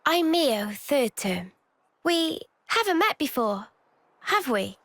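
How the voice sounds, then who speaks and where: A keen young soldier in a video game, high in pitch and unhurried. Her British voice is earnest and direct, recorded very dry. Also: big pitch swings, fairly bright tone, no room sound, full character voice. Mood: tentative, earnest. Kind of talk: video game cutscene voice acting.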